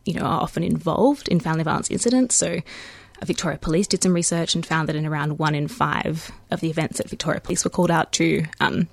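An Australian woman in her thirties, a guest on a community radio show, explaining at length in a measured way with frequent ums. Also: deep voice, creaky, no echo. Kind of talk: radio interview. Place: radio studio.

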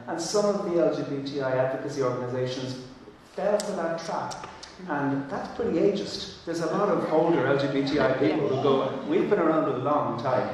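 A man's voice: mid-range voice, darkish tone, distant mic, slight background noise. Irish man in an echoey hall. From Ireland. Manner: earnest, thoughtful, with frequent restarts. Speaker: panel speaker. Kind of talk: panel discussion.

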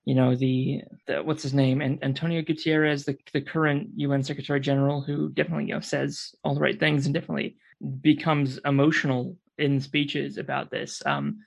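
A man's voice: mid-range voice, little pitch movement, brisk, creaky, highs cut off. A man speaking as a panel guest in a wry, articulate, conversational way. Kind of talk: conversational commentary.

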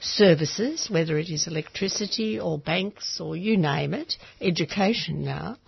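Gravelly, hesitant woman. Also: on the low side, unhurried, no room sound, lo-fi sound. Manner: hesitant, with many fillers.

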